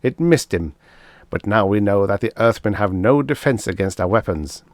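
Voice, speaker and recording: A man's voice: fairly low pitch, animated, normal speed, no room sound, studio quality, natural voice. A man voicing an evil, irritable toadlike alien commander who barks orders and sneers in a pulp science fiction audiobook. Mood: smug, exultant.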